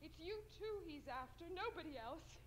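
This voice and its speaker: Very high-pitched frightened young woman. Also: lively intonation, normal speed. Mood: upset. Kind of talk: horror film dialogue. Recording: distant, faint, noisy film soundtrack.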